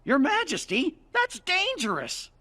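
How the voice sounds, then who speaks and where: An actor playing a small, gentle, courtly male cartoon adviser in a game, with a worried, polite, folksy way of talking. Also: high-pitched, wildly swooping pitch, unhurried, exaggerated voice. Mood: alarmed. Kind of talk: animated game cutscene acting.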